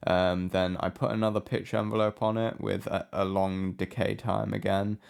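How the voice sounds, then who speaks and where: Low creaky guy doing a laid-back software walkthrough, kinda hesitant and offhand. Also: level tone, normal speed. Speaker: music production tutorial host. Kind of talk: casual screen-recorded software tutorial. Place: indoor room with a window.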